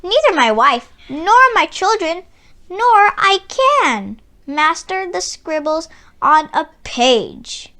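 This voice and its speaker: A woman's voice: extremely high pitch, big pitch swings, takes it slowly, bright, forceful tone, voice pushed up, heavily acted. A woman voicing a humble, worried poor village man in a storybook, respectful and pleading.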